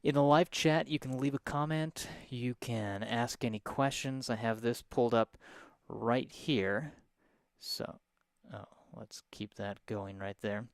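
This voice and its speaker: A soft-spoken man, teaching a live piano lesson and explaining each step in a friendly way. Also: mid-range voice, a little slow, no echo, clean studio sound.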